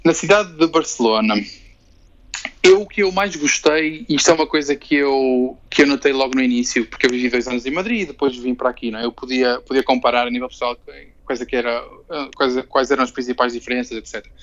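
A man's voice: mid-range voice, quick pace. A young man, chatting casually as an interviewee, rambling with restarts and frequent tag questions. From Portugal. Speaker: interviewee, Portuguese living in Barcelona. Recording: dull lo-fi sound.

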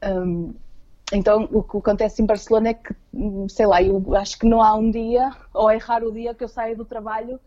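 A woman with a Portuguese accent chats in a soft-edged, mellow voice. She speaks as an interview guest, doubling back on words while looking for the right phrase. The recording is muffled and low in fidelity. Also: level tone, brisk. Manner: hesitant, repeats words while searching for phrasing. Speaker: interview guest living abroad. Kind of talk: casual conversational interview.

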